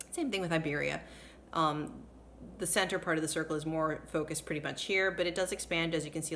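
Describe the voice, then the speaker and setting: A woman's voice: on the low side, fairly bright tone, slight background noise. A woman who grew up bilingual in English and Portuguese, explaining things casually and unscripted to camera in a chatty, digressive way. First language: English and Portuguese from early childhood. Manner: chatty, digressive, chuckles while talking. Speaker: vlogger.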